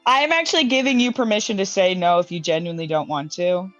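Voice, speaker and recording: A woman's voice: mid-range voice, fast, a bit bright. A woman playing a tabletop game, talking emphatically, laughing often and swearing. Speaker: player. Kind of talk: casual tabletop roleplay. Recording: dry, dull lo-fi audio.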